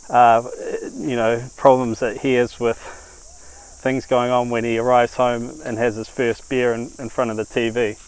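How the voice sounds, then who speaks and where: Slow-spoken man with a clear voice. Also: mid-range voice, poor audio. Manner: halting, musing delivery with many pauses. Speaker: creator introducing own TV series concept. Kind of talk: unscripted introduction to camera or microphone.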